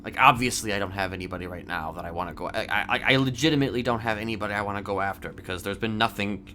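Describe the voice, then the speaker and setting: A man playing a calmly boastful, evasive serial killer in improvised crime roleplay, rambling and hesitant as he confides. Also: fairly low pitch, a little hiss or hum. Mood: evasive, musing. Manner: halting, rambling, hesitant delivery.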